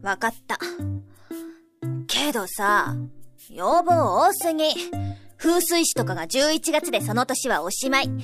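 A young boyish rabbit in a radio play, high and very animated. The character is a cheeky slacker who whines and grumbles about work. Music plays underneath. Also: female voice, measured pace, pauses often. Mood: exasperated. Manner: whiny, cheeky, informal. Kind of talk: Japanese audio drama acting. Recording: music bed behind the voice.

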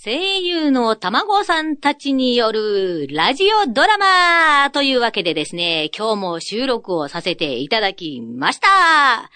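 A woman's voice: on the high side, sing-song, measured pace, few pauses, sharp tone, clear, resonant tone, no room sound. A woman hosting a casual radio free talk, joking and switching between polite and slangy speech. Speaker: radio show host.

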